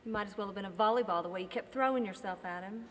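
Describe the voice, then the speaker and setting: A woman plays a defiant, catty small-town woman. She is snide, mid-pitched, in a reverberant hall with slight noise. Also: racing, natural voice. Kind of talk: film drama dialogue. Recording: distant echoey hall, faint noise.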